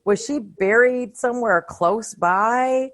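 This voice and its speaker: A woman's voice: on the high side, takes it slowly. A woman co-hosting a casual film discussion, talking in a blunt, slangy way. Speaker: podcast co-host.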